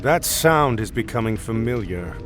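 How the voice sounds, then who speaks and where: Grave heroic male commander acted by a man, medium pitch, expressive, video game cutscene, music behind. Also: moderate pace, natural voice.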